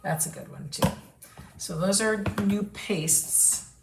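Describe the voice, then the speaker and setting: Female host, hoarse from losing her voice, slow relaxed product walkthrough, roomy noisy recording. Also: medium pitch. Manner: chatty, unhurried, clears throat often. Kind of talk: casual product showcase livestream. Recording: echoey room with background noise.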